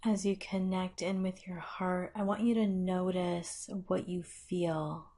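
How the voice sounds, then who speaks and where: A woman leading a guided meditation with measured, instructional phrasing and long pauses. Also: on the low side, steady tone, dark tone.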